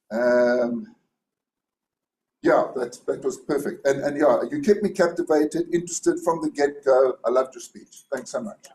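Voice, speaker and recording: A man with a loud booming voice, giving rambling spoken feedback on a speech at a club meeting. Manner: rambling, many restarts and fillers. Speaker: speech evaluator. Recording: echoey room with faint hiss.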